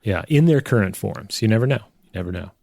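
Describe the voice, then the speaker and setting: A man's voice: on the low side, animated, quick pace, creaky. A man co-hosting a podcast, speaking conversationally into a close mic. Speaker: podcast co-host. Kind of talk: casual chat, scripted ad reads and listener mail.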